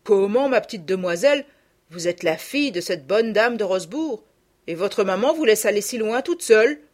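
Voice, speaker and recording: A woman's voice: mid-range voice, lively intonation, moderate pace, darkish tone, voice pushed up, natural voice. A woman voicing a good-natured country butcher with homely, folksy speech in an audiobook. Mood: kindly. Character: good-natured country butcher, grown man, gruff and kind. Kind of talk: audiobook character voice.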